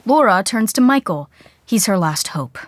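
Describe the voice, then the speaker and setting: A woman's voice: medium pitch, sing-song, normal speed, dry recording, close to an everyday voice. A woman playing a wry narrator in a scripted podcast sitcom. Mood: brisk narrating.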